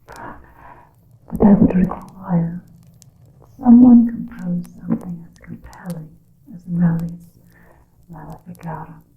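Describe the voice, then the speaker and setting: Slow whispering woman, muffled and echoey. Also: huge pitch swings. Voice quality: breathy whispering mixed with soft low voice. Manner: slow, hushed, theatrical, archaic storybook phrasing. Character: grave witch counseling her coven in secret. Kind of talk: whispered ASMR roleplay monologue. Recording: muffled lo-fi, echoing room.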